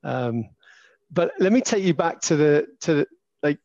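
A male host asks long, rambling questions and often stops and starts over. His voice sits a bit high. The sound is dull and lo-fi, with no room around it. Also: expressive, measured pace, darkish tone, no room sound. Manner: rambling, hesitant, many restarts. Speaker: podcast host. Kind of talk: casual interview questions.

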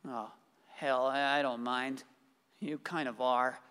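An actor playing an excitable, adoring neighbor in a radio play, earnest and effusive in his devotion. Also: medium pitch, slow pace, clean tone, long reverb tail.

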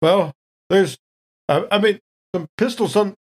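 Rattled male crewman for sci-fi tabletop game, a player's put-on voice. Low, hesitant, stuttering. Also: lightly acted. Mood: dazed, nervous. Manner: halting, stammering, repetitive.

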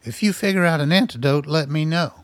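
Male actor as wry scientist, slightly high pitch, earnest, exasperated, defensive, close dry sound, radio drama. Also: fairly quick, dry recording, lightly acted. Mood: wry, guarded. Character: defensive, wry male scientist doctor, anxious and conspiracy-minded. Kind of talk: naturalistic radio drama acting.

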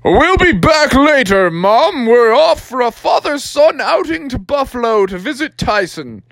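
A woman voicing an excitable, reckless, cheerful father frog in a children's story, with drawn-out, carefree exclamations. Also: high-pitched, no echo, pitched above the performer's own voice, heavily acted. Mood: cheerful, eager.

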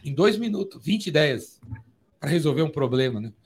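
A man's voice: on the high side, big pitch swings, moderate pace, breathy edge. A man with a Brazilian accent hosting a podcast, chatting informally with slang and frequent check-in tags. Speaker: podcast host. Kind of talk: casual chat, interviewing.

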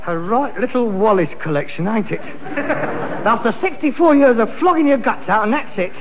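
A frustrated London junk trader, a grown son full of grand schemes. His voice is high for a man and swings widely, theatrical and sarcastic in old British sitcom style, on a dull recording. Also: expressive, moderate pace. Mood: bitter, incredulous. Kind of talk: 1960s British sitcom acting.

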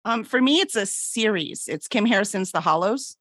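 A woman's voice: medium pitch, expressive, moderate pace, a bit bright, no room sound. Casual woman guest, dry close recording. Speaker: panel guest, book proofreader. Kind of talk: casual chat.

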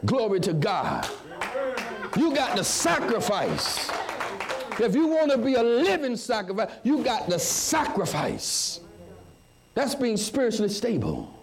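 High-pitched, animated male preacher. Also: a little slow, a crowd in the background. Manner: emphatic, repetitive, with call-and-response questions to listeners.

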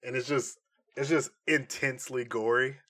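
A man co-hosting a casual pop culture podcast, talking in a jokey, excitable way. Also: mid-range voice, normal speed. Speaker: podcast co-host.

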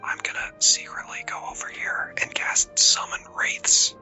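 A tabletop game player speaking in a secretive, breathy whispered aside. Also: unhurried, sharp tone, faint music behind.

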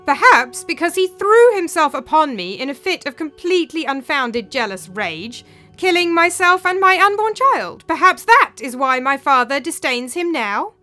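A woman's voice: sits high, big pitch swings, normal speed, pushed and bright, faint music behind, pitched above the performer's own voice, full character voice. A woman voicing the bitter, scornful ghost of a murdered wife in a dramatic story, imperious and accusing.

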